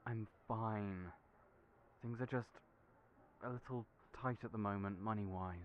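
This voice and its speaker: Hesitant, soft-spoken man, slightly low voice, stammers, audio roleplay lead. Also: steady tone, unhurried, lo-fi sound, a little hiss or hum. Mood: reluctant.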